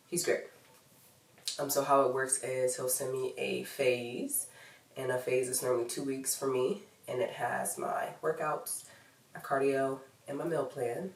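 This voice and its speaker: Casting: American woman, thirties, stuffy nasal voice, loose chatty vlog delivery, recorded off-mic in an echoey room. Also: a bass voice. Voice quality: nasal, congested. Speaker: fitness vlogger. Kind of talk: casual vlog update to camera. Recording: roomy echo, distant microphone.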